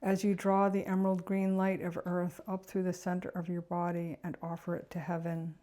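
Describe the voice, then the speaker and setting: A woman leads a guided meditation with a soft, dark tone. Her pitch sits on the low side and moves within a narrow, even band. The delivery is gentle and reflective, never rushed.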